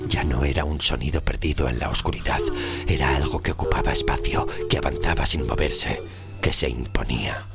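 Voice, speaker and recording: An extremely low male voice with heavy creak narrates a horror tale over loud music. He phrases things deliberately and dramatically, leaving short pauses to build suspense. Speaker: storyteller and narrator of horror podcast.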